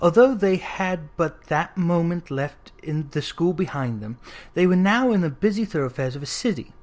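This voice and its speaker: A reader giving an expressive, dramatic narration of a classic story, leaning into lists with emphasis. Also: medium pitch, moderate pace. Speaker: audiobook reader.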